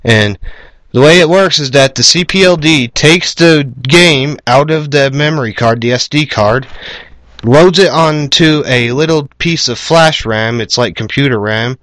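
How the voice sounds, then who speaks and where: A man's voice: a little high, unhurried, fairly bright tone, no echo. A man rambling casually and bluntly, with some swearing, as he hosts a homemade game hardware demo. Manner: rambling, blunt, foul-mouthed. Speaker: video host. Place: cluttered room at home. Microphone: camera microphone at varying distance. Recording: noisy home camera recording with clicks.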